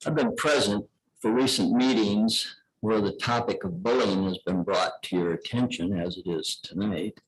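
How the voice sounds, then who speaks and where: Older man formally reading aloud, lowish voice. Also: moderate pace, poor audio. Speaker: veteran representative at public comment. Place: indoor public board meeting room.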